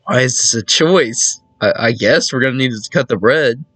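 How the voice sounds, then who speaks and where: A man's voice: mid-range voice, moderate pace, a bit bright, dry recording. A man giving casual let's play commentary, reacting aloud to a visual novel, repeating himself and tossing in teasing asides. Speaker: gaming video host. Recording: music behind a dry voice. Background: game music.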